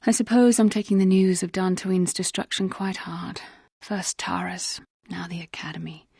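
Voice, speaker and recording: An actress playing an earnest, solemn young Jedi warrior in a video game, with formal, grave delivery. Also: female voice, fairly low pitch, fairly even intonation, moderate pace, creaky, a little mellow, slightly rough, no echo, studio quality. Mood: grave, sorrowful. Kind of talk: dramatic video game acting.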